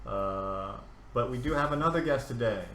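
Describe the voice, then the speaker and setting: A man's voice: medium pitch, lively intonation, moderate pace, a bit bright, a little hiss or hum. A man around thirty hosting a casual podcast, friendly and joking, with many filler words. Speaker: podcast host. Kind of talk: casual chat. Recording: echoey room with faint ambience.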